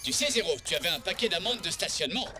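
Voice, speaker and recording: Actor doing a teasing cartoon sidekick, matter of fact, medium pitch, music behind. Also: lively intonation, racing, close to an everyday voice. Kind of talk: French cartoon dubbing.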